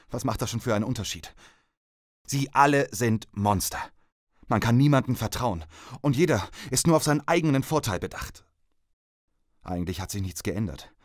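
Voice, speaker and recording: An actor playing a grim, disillusioned soldier and survivor in an audio drama, brooding and serious. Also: male voice, fairly low pitch, no room sound, studio quality, lightly acted. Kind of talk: audio drama narration and dialogue.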